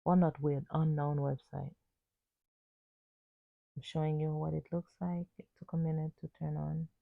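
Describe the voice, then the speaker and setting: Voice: mid-range voice, flat delivery, normal speed, gravelly, no echo. An amateur reviewer of unclear sex giving a casual unboxing voice-over in short, plain, slightly hesitant sentences. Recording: muffled phone-like narrow sound.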